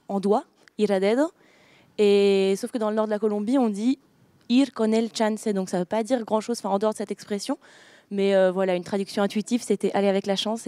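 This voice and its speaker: A woman's voice: mid-range voice, dark tone, no echo. A young woman from France, an author answering interview questions with many hesitations and restarts. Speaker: author guest. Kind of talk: interview conversation.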